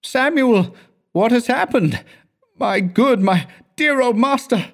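Man acting a high-pitched, frightened young violinist. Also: animated, slow, exaggerated voice. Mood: terrified, pleading. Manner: high, crying out in terror. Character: anguished, frightened young male violinist pupil calling out.

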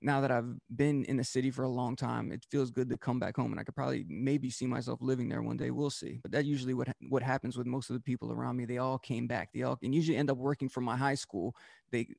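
Male DJ guest, rambling interview talk, gravelly, dry recording. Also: mid-range voice, fairly even intonation, fast, darkish tone, dry recording. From Delaware, US. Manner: loose, rambling, many fillers and restarts. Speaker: DJ and podcast host, guest.

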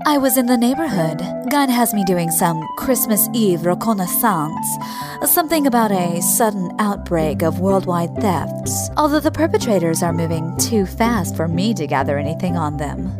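A woman's voice: on the low side, animated, normal speed, natural voice. A woman playing a sly, teasing, sardonic secret agent in a radio play. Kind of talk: scripted radio play acting. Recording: loud music behind the voice.

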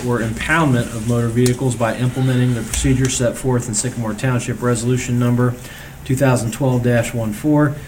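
A man on township staff reporting at a public board meeting, hesitant with many fillers and restarts. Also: medium pitch. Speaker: township zoning staff member. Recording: distant mic, noisy room.

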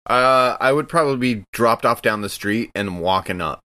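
A man's voice: fairly low pitch, normal speed, pushed and bright, dry recording. A man playing a tabletop roleplaying game, casually and playfully describing what his character does. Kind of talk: casual improvised game narration.

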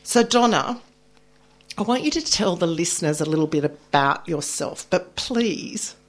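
A woman's voice: lowish voice, unhurried. A middle-aged woman, hosting a radio program in a studio and speaking in a bubbly, effusive way. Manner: bubbly and effusive, with lively emphasis. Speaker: radio host.

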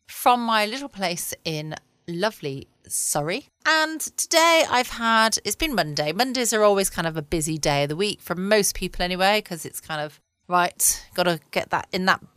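A woman's voice: lowish voice, smooth, dry recording. A woman from England, chatting casually as host of a late-night podcast from home. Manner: chatty and relaxed, with small laughs.

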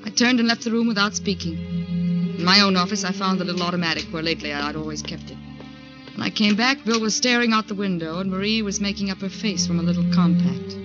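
An actress playing a jilted career woman narrating a 1940s radio thriller in a measured, restrained, bitter way. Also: medium pitch, over music, lightly acted. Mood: numb, bitter. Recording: dull 1940s radio recording.

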